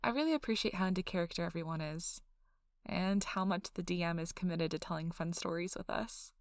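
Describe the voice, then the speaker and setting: A woman playing an excitable, nerdy young girlfriend who rambles casually about her tabletop game in an animated ASMR role-play. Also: lowish voice, a little fast, no room sound, clean studio sound, close to an everyday voice. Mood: fond, content. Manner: animated, breathless emphasis, frequent "like" asides.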